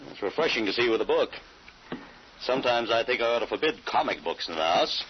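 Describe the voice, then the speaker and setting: A man's voice: medium pitch, sing-song, fast talker, lightly acted. An actor playing a genial middle-aged small-town father in an old-time radio drama. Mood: musing. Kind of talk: 1940s radio acting. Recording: muffled, hissy old radio recording.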